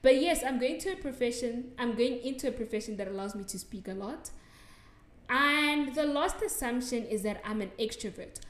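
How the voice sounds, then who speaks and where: A woman's voice: fairly high pitch, moderate pace. A young South African woman with a South African accent, hosting a solo podcast in a chatty, giggly way full of likes and ums. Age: young adult. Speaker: podcast host. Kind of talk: solo podcast answering listener assumptions. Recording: echoey room.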